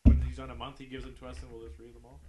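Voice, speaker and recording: A man's voice: medium pitch, level tone, racing, over loud music. A young man chatting casually as a guest on a podcast. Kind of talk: casual chat.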